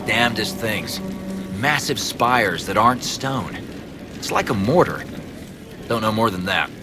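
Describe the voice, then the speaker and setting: Clipped, low-voiced dwarven craftsman. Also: animated, a little slow, over music. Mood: matter-of-fact. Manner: clipped, plainspoken. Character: gruff, practical dwarven craftsman, proud of his trade. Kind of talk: video game dialogue acting.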